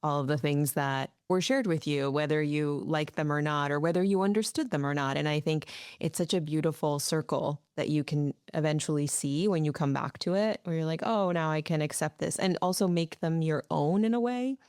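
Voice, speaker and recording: A woman's voice: low voice, brisk, few pauses, a little mellow, clear, resonant tone, dry recording, studio quality. A woman from the United States hosting a podcast interview, talking through ideas thoughtfully. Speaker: podcast host. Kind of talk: casual interview chat.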